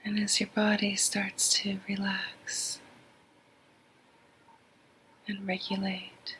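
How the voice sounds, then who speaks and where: A woman guiding a sleep meditation in a breathy half-whisper, soothing and measured, with long pauses. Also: mid-range voice, monotone, bright, forceful tone, slight background noise, rough recording. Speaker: meditation guide. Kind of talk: slow guided sleep meditation.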